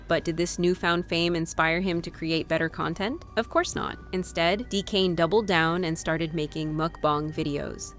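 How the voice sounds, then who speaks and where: A woman narrating a scripted commentary in a sarcastic, mocking manner. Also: on the low side.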